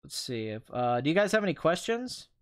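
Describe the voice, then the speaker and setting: A man's voice: on the high side, big pitch swings, a little fast, clean tone. A man hosting a casual, rambling live stream as a film commentator, his voice a little strained from hours of talking. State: voice tiring and strained after two hours of talking.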